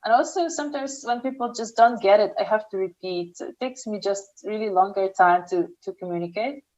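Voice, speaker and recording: A woman's voice: mid-range voice, darkish tone, muffled recording. Young Eastern European woman, careful speech. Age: young adult. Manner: speaks slowly and carefully, with frequent restarts. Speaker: startup founder, interview guest. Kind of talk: interview answers.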